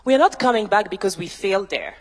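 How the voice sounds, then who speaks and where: A woman, a tech founder giving a measured, emphatic panel answer built from rhythmic lists. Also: medium pitch, big pitch swings. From France. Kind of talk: prepared keynote-style panel answer. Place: large university hall, on stage. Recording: reverberant large hall.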